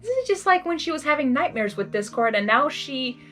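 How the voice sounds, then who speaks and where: A woman reads a story aloud and adds expressive, rambling casual reactions. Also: sits high, fairly quick, soft background music. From Hungary. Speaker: fan fiction reader and commentator. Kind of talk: blind reading with casual reaction commentary.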